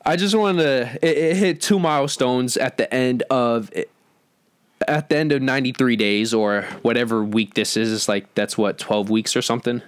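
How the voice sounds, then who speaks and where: A man co-hosting an entertainment podcast, talking casually through box-office figures with halting restarts. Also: mid-range voice, clean tone, no room sound.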